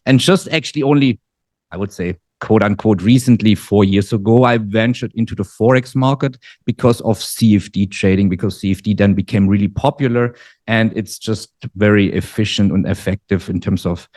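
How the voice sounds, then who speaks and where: Man, forties, German accent, lowish voice, dry close sound, filler-heavy interview talk. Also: a little mellow, dry recording. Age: 40s. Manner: measured, many fillers and restarts. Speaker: guest trader. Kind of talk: casual interview. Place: living in Dubai.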